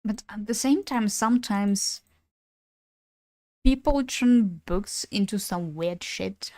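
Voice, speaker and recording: A woman's voice: medium pitch, slow, a little mellow, no room sound. Chatty young woman streamer, Ukrainian native. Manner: chatty, swears casually, laughs between thoughts. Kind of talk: casual gaming stream chat.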